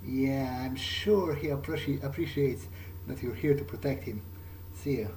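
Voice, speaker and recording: A man speaking in character through a game playthrough, halting and often repeating himself. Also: medium pitch, a little hiss or hum, poor audio. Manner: hesitant, halting, repeats words. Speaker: role-playing gamer. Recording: loud music behind.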